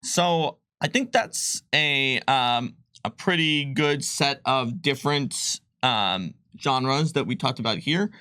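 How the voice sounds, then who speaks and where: A man co-hosting a game design live stream, talking casually with many pauses and fillers. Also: mid-range voice, dry recording, clean studio sound. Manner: relaxed, hesitant, many fillers.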